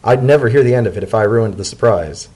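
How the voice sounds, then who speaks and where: A man voicing an anxious, affectionate male pony in a story reading, speaking in a hesitant, earnest and excitable way. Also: fairly low pitch, fast talker, husky. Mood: warm.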